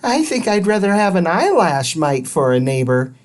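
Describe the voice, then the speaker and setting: A man's voice: high-pitched, very animated, normal speed, voice pushed up. A man voicing a young girl adventurer in a children's storybook, exasperated and exclaiming, with a dry wit. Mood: wry, urgent.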